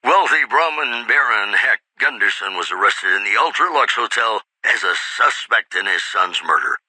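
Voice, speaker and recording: Casting: suave game radio news DJ, low-pitched, very bright and forceful, measured scripted bulletins. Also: male voice, expressive, no room sound, full character voice, low-quality recording. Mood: composed, polished. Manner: polished, measured announcer delivery. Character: suave, smooth radio DJ reading wasteland news.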